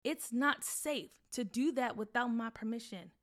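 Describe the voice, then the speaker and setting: A stern, protective mother lays down the rules with firm, scolding words, as a woman reads her part. Her voice is slightly high and pointed, sounding both caring and strict as she delivers her lines. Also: a little slow, close to an everyday voice.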